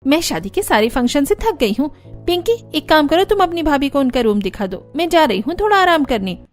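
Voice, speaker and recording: A woman's voice: high voice, big pitch swings, brisk, soft background music. A woman voicing jealous, scheming young daughters-in-law in a cartoon, with a taunting, indignant manner. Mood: snide.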